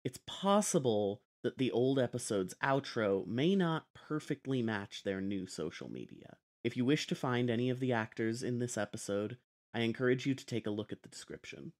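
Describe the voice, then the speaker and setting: A man's voice: mid-range voice, a little mellow. A man speaking as a podcast host, giving a scripted introduction.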